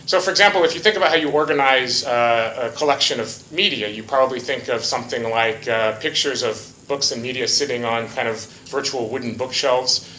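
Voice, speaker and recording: A man's voice: mid-range voice, brisk, hardly any pauses, sharp tone, a little hiss or hum. A man from Hawaii giving a casual, joking conference talk, full of fillers like "uh" and "um". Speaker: conference speaker. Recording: echoey hall.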